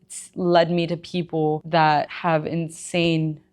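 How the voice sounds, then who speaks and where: A young woman from Egypt tells her own story in a candid, chatty way. Her voice sits low. She drops emphatic little asides as she goes. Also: flat delivery, slow pace, a little mellow, clear, resonant tone. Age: young adult. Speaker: gym owner and personal trainer. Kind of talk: personal storytelling vlog.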